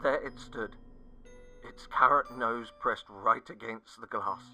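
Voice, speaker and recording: A man narrating a scripted first-person horror story, dramatic and sinking to hushed tones at the tense moments. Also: mid-range voice, slow pace. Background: faint music.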